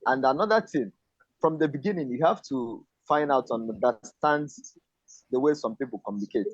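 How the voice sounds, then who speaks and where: A man joins a casual video-call discussion and talks in a hesitant, searching way. His voice sits at a middle pitch. The audio is dry and muffled, like laptop call sound. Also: moderate pace, dry recording. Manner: hesitant, searching for words, fillers. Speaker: panel guest.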